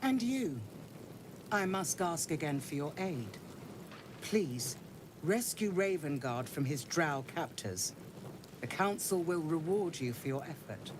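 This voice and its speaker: An actress playing a commanding, formal city counselor who speaks in an authoritative, measured way. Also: female voice, low-pitched, animated, noisy background.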